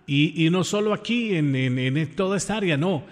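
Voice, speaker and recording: A man's voice: a little high, lively intonation, normal speed, in a large hall. A man from Colombia with a Colombian accent, talking informally as a radio host to listeners in an affectionate, effusive way, repeating phrases for emphasis. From Pereira, Colombia.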